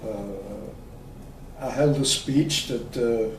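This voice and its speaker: A man, recalling his life in an oral history interview, slow and hesitant with many pauses. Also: medium pitch. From Austria. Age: 90s. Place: room at a community center. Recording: echoey room, distant mic, faint hiss.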